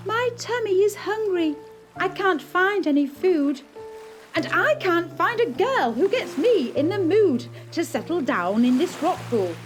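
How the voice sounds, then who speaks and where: A woman's voice: very high voice, voice pushed up, heavily acted. A woman voicing a lonely, lovesick crab for a puppet show, speaking plaintively in rhyming verse. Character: lonely, lovesick crab living in a rock pool. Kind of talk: puppet show character acting.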